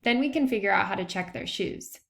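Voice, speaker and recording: A thoughtful schoolboy detective from a children's storybook, voiced by a woman reader. He sounds earnest and inquisitive, puzzling things out with his friends in a slightly creaky voice. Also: mid-range voice, brisk, a bit bright.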